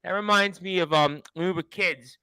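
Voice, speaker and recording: A talkative man hosts a wrestling chat show, his voice sitting a little high for a man. He rambles and circles back on his questions. The sound is dry and close, with no room around it. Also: normal speed, sharp tone, clean tone, dry recording. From Brooklyn, New York. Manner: chatty, rambling, repeats himself. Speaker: radio show host. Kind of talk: casual interview chat.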